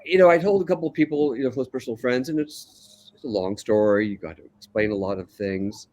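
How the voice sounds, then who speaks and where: An older man tells his story in a relaxed, easygoing way. His voice sits at a medium pitch, and he stays calm as he talks through the past. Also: brisk. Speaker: interview guest. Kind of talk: casual storytelling.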